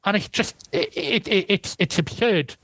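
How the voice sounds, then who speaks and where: A Welsh man talking as a guest historian in a relaxed interview, thoughtful and hedging, often restarting his sentences. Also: sits high, moderate pace, gravelly, breathy edge, no echo. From Wales. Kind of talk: casual interview conversation.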